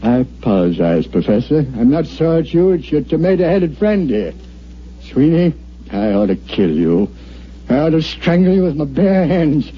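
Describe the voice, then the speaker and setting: A man's voice: a little high, big pitch swings, moderate pace. An actor playing a sarcastic, wisecracking, beaten-down veteran city editor in his fifties, in a 1950s radio drama style. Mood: mock-threatening. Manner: sardonic, theatrical, wisecracking. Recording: muffled old radio recording with hiss.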